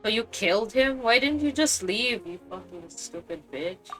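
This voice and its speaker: A woman's voice: medium pitch, lively intonation, moderate pace, soft background music. A woman giving casual, blunt and irreverent reactions while playing a video game. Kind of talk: casual gameplay commentary.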